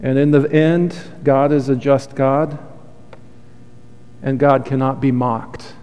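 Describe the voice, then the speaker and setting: A man's voice: medium pitch, steady tone, takes it slowly, smooth. Soothing-voiced guy preaching a heartfelt sermon, church reverb trailing off. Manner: earnest, heartfelt preaching with soft confessional asides. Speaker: pastor. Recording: long hall reverb.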